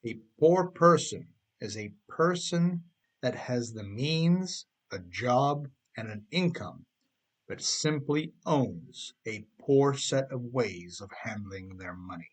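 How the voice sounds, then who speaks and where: A man's voice: mid-range voice, expressive, slow pace, slightly rough. A man reading his own book aloud as a scripted audiobook narration, conversational in manner, with emphatic stresses and rhetorical questions.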